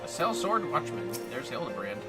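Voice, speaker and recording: A man's voice: medium pitch, sing-song, normal speed. A man livestreaming a video game, chatting casually with his play partner over game music. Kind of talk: casual co-op gaming chat.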